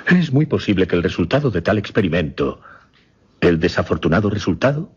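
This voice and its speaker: An earnest mesmerist played by a man speaks formally in a low, very breathy voice that moves widely in pitch. It is grave horror radio drama with a dull, muffled sound. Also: sing-song, fairly quick, a little mellow, heavily acted. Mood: grave, defensive. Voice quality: low, very husky. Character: earnest male mesmerist recounting and conducting a grim experiment. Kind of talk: Spanish-language horror radio play acting.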